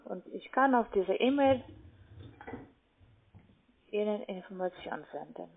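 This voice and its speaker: A non-native speaking woman with a foreign accent, working as a call-center investment agent and talking haltingly as she searches for words. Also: mid-range voice, animated, a little hiss or hum. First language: not German. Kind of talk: phone sales conversation. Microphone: telephone. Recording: tinny narrow phone line.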